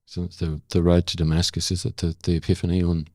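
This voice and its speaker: An older man with an Australian accent, talking candidly and reflectively as an interview guest, emphatic but with halting pauses and repetitions. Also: sits low, monotone, a little mellow, dry recording, studio quality. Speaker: interview guest, former journalist.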